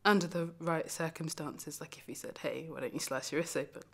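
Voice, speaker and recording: A woman performing a dramatic monologue as a lonely, heartbroken young lover, confessional and emphatic, moving between hushed and forceful delivery. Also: sits low, fairly even intonation, brisk, vocal fry, breathy edge, exaggerated voice. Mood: wistful, intense. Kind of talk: dramatic stage monologue, self-taped acting showreel.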